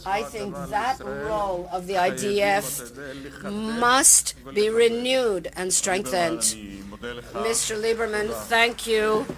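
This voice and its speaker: A woman giving a live interpretation of a conference interview, with a measured, halting delivery and pauses as she keeps up. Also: mid-range voice, crowd noise. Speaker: simultaneous interpreter.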